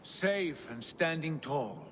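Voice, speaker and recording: A weary but steady Viking warrior talks gently and haltingly. His fairly high, lively voice carries a long reverb, in game cutscene style. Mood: gentle, weary. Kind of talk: dramatic video game cutscene acting. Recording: long reverb, faint noise.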